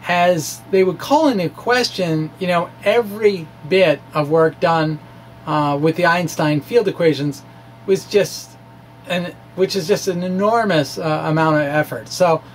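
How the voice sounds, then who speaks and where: A man presenting a physics lecture informally, with animated emphasis and a halting delivery full of stammered restarts. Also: fairly high pitch, a little hiss or hum, rough recording. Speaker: amateur physicist presenting his own theory. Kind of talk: informal physics lecture, reading of a blog talk.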